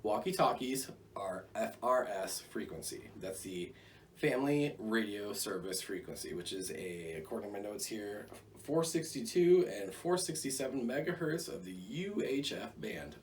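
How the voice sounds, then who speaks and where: A man's voice: lowish voice, lively intonation, moderate pace. An American man giving a rambling, conversational product review with jokey asides. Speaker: gear reviewer. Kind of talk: casual product review to camera. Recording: distant mic, faint background noise.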